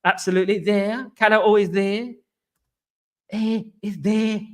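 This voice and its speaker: High-voiced chatty male stream host. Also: steady tone, a little slow. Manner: chatty, repeats himself, shouts out viewers. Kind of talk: live stream chat reading comments. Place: China.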